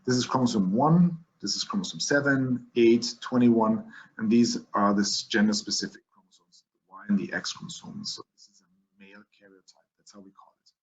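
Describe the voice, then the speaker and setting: A man's voice: medium pitch, fairly quick, lo-fi sound. German-accented man giving a medical lecture. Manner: explanatory, frequent restarts and fillers. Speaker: physician lecturer. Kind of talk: medical lecture over slides.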